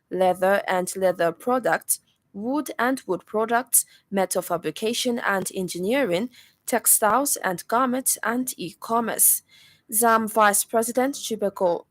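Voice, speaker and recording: A woman's voice: mid-range voice, no echo. Zambian woman reading radio news, close dry sound. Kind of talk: formal scripted news bulletin reading. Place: radio studio.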